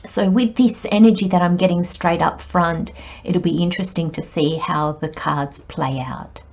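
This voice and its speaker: A woman giving a spiritual tarot reading, her voice croaky and hoarse, speaking in a calm, reassuring way. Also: lowish voice, moderate pace, muffled recording. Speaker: tarot reader.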